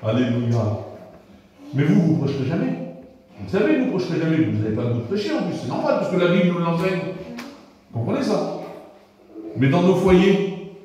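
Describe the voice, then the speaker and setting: A man's voice: a little high, sing-song, a little fast, pauses often, dark tone, slight background noise. A man from France preaching an informal sermon to his congregation, colloquial and repetitive, often checking that the room understands. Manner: colloquial, repetitive, asks the room "vous comprenez". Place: church hall. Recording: echoey church hall from far off.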